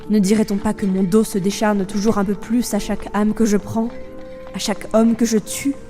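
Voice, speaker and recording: A woman voices Death, a world-weary reaper in an audio fiction. The voice is mellow and mid-pitched, grave and theatrical in its measured delivery. Soft music sits behind it. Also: little pitch movement, natural voice. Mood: weary, brooding. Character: weary Death, a soul-gathering reaper burdened by the role. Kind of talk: narrated audio fiction, dramatic first-person monologue.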